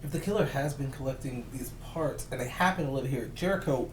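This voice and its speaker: A woman at a cast table read, switching between script lines and joking, animated banter. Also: very deep voice, quick pace. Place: indoor room at a group table read. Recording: noisy echoey room, far from the mic.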